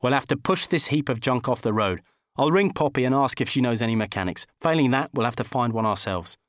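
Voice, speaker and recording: A man's voice: medium pitch, animated, brisk, no echo, studio quality, close to an everyday voice. A man playing an exasperated, sarcastic divorced man who nags and scolds emphatically in comic audiobook dialogue. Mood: bossy.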